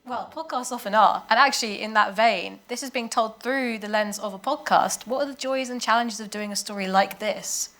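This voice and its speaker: A woman's voice: fairly high pitch, normal speed, hardly any pauses, fairly bright tone. A woman moderating a panel, asking questions in a conversational way. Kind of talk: conversational interviewing.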